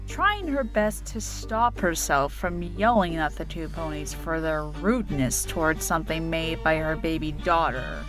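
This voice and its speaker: A woman narrates a scripted story dramatically. Her medium-pitched voice moves widely up and down, with faint music underneath. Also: lively intonation, measured pace, few pauses.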